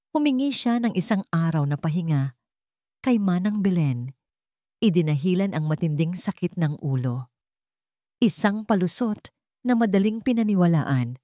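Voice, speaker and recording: Filipina lady narrating a drama story, kinda low voice, super dry recording. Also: darkish tone, dry recording.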